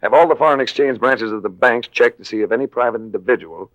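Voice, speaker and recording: A man's voice: mid-range voice, fast, lo-fi sound, close to an everyday voice. A man playing a cool, wry American adventurer-investigator in 1940s radio drama style, speaking in a clipped, dry, hardboiled way. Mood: brisk, commanding. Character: cool, wry American male adventurer-investigator, narrating his own case. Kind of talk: 1940s radio drama acting with first-person narration.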